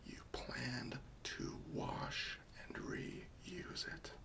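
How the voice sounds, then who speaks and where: Guy doing a friendly patient helper in an asmr roleplay, whispery n crackly, real slow coaxing. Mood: patiently questioning. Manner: soft, coaxing, patient, questioning, reassuring. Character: gentle, patient, friendly male helper for hoarders.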